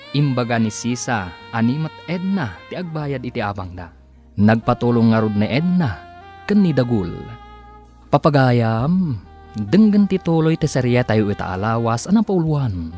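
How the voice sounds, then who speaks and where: A male radio drama narrator with an Ilocano accent. His mid-pitched voice moves in big, lively swings over a music bed.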